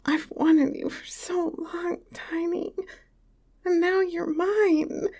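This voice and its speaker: A woman playing a tall, teasing, affectionate young lover in an intimate audio roleplay, talking in a soft, breathy whisper. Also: high voice, slow pace. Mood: whispery tender.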